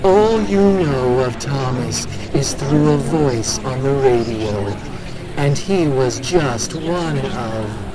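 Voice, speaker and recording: Ancient haughty monster, dragged-out menacing delivery over a layered crowd. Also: male voice, mid-range voice, animated, slow pace, poor audio. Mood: taunting. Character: ancient arrogant monster speaking as a plural "we". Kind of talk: audio drama acting.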